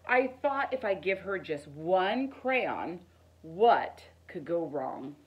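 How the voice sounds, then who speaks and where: A middle-aged woman reads a children's picture book aloud in an expressive, deliberate storytelling manner. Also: on the low side, muffled recording, slight background noise. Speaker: teacher reading aloud. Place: living room, indoors.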